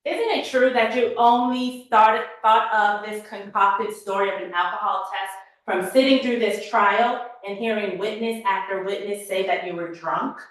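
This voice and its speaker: A woman's voice: mid-range voice, steady tone, moderate pace, fairly bright tone. A woman prosecutor cross-examining a witness in court with pointed, leading questions. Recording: very echoey courtroom audio.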